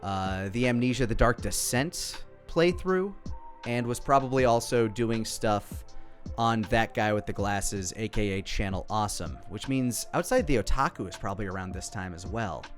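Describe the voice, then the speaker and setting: A man's voice: on the low side, expressive, normal speed. A man in his thirties with an American accent giving casual, off-the-cuff commentary in a rambling way, often interrupting himself. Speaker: video creator. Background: background music.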